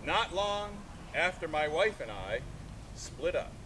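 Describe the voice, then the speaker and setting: A man reads literature aloud outdoors in a rather high voice that rises and falls widely. He declaims in short, separated phrases, with some vehicle noise behind him. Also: sing-song, slow. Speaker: artist reading aloud and taking questions. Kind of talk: live literary reading. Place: outdoors in a sculpture park. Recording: noisy outdoor recording with vehicle rumble.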